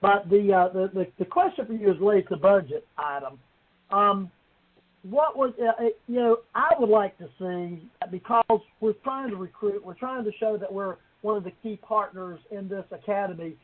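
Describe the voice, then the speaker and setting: High-voiced man rambling on a phone line. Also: moderate pace. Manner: rambling, circling back to the point. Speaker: town council member. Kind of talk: informal remarks in a budget meeting. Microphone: conference call. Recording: thin, phone-like conference call.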